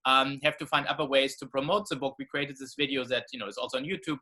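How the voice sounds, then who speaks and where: A middle-aged man from Germany talking conversationally as co-host on a video call, piecing his sentences together haltingly. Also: medium pitch, sharp tone. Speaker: co-host, author. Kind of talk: conversational talk in an online event.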